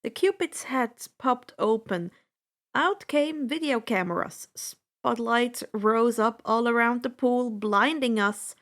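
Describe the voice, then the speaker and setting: High-voiced, unhurried woman reading a story. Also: fairly bright tone. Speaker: audiobook-style fan fiction reader. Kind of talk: dramatic first-person story narration.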